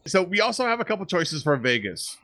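A man's voice: sits high, brisk. A man co-hosting a casual movie podcast, telling rambling stories with plenty of asides. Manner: rambling, animated storytelling.

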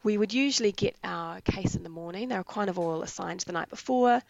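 A woman from New Zealand with a raspy voice from being sick, eagerly explaining her work in veterinary anaesthesia in a casual interview. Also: medium pitch, a little fast, a little mellow, no echo, lo-fi sound. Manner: enthusiastic, explains jargon in plain terms. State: raspy voice from illness.

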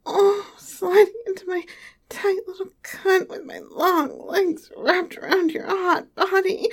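A woman playing a breathy, teasing tall lover. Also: extremely high pitch, full character voice. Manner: soft breathy whispering. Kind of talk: intimate audio roleplay to the listener.

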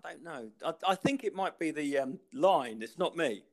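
A man's voice: a little high, lively intonation, normal speed. A man, a podcast co-host in casual chat, ranting and rambling and breaking into laughter.

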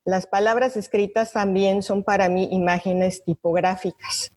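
A woman's voice: medium pitch, level tone, unhurried, a little mellow. An older woman with a Mexican accent, a guest artist reading her prepared reflections aloud at an online talk. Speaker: invited panelist, visual artist.

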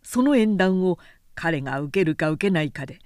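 A woman's voice: fairly low pitch, expressive, normal speed, a little mellow, clean tone, dry recording, studio quality, close to an everyday voice. A woman reading the part of a shrewd, scheming male adviser who gives formal, calculating counsel in a historical story. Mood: calculating, persuasive.

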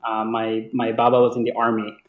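A man's voice: medium pitch, completely flat delivery, brisk. Echoey young man from Bangladesh, conversational. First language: Bengali. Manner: hesitant, often repeating words. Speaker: interview guest. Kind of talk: casual conversational storytelling. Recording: echoey, roomy sound.

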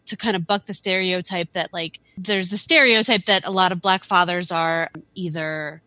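Podcast guest, woman, bright clear voice, dry close sound, earnest and reflective. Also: lowish voice, steady tone, moderate pace, dry recording. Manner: thoughtful, earnest, many restarts and "like". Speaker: photographer guest. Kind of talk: casual podcast conversation. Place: Philadelphia area.

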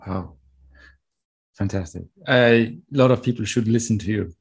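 A man's voice: fairly low pitch, measured pace, husky. A man hosting an interview, asking short questions and giving brief encouragement. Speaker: podcast host.

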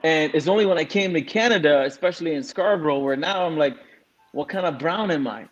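A man's voice: on the high side, fairly quick, dull audio. Candid man in his forties. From Singapore. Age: 40s. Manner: candid, frank storytelling with many fillers. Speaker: guest speaker on a panel. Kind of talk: casual interview answer.

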